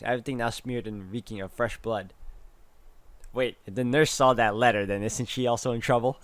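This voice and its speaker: A man's voice: lowish voice, dry recording. A man hosting a game walkthrough, reading visual novel narration aloud and adding his own asides. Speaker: video game walkthrough host.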